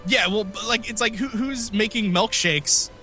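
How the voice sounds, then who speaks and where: Guy on a podcast over a call, kinda phlegmy and raspy, bright voice, rambles and restarts a lot. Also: high voice, normal speed, faint music behind. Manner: rambling, self-interrupting storyteller. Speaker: podcast co-host. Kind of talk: casual podcast chat. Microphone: remote call mic.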